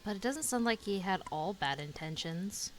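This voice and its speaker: A woman's voice: mid-range voice, animated, normal speed, rough recording. A woman streamer giving casual reaction commentary while playing a game. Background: game music.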